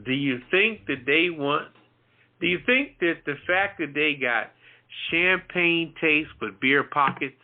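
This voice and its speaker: A man co-hosting a casual talk show discussion, posing rambling rhetorical questions. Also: mid-range voice. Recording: muffled, band-limited audio.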